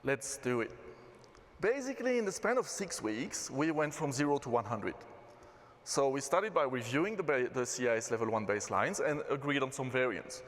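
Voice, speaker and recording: A man from Belgium with a Belgian accent, giving a relaxed conference talk as a systems engineer. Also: mid-range voice, moderate pace. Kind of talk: conference presentation. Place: conference hall. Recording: reverberant hall.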